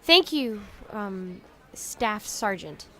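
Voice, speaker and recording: A woman's voice: mid-range voice, very animated, extremely slow, fairly bright tone, full character voice. A woman playing a naive, curious young vault dweller in an audio drama, tentative and questioning, with crowd chatter behind. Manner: tentative, questioning, wide-eyed. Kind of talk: post-apocalyptic audio drama acting.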